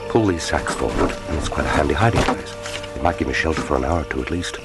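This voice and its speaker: An actor playing a resolute, wry British secret agent in a radio drama, speaking in a dry, understated British accent. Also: male voice, extremely low pitch, fast. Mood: tense, wry. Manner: dry, understated, musing aloud. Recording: dramatic music bed under voice. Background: loud orchestral film score.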